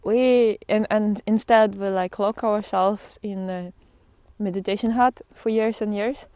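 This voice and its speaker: A woman giving an informal spiritual talk to followers in a halting, reflective way with drawn-out pauses, as a vlogger out on a walk. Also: mid-range voice, fairly even intonation, warm tone, no echo.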